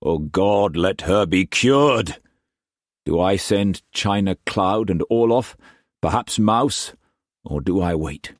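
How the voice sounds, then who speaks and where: Man playing a Scottish merchant, low grave voice, slow and measured, dry. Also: animated, dry recording. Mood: grave, weary.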